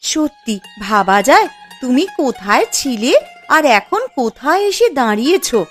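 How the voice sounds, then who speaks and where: A woman's voice: high-pitched, big pitch swings, moderate pace, a bit bright. A woman voicing a chatty, admiring and conniving village wife in a moral-story cartoon. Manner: chatty, familiar. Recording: music under the voice.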